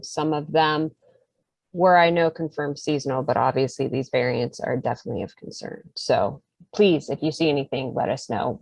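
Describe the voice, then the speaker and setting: A woman's voice: low-pitched, creaky, darkish tone, no echo, low-quality recording. A woman giving an informal public health update to colleagues on a conference call.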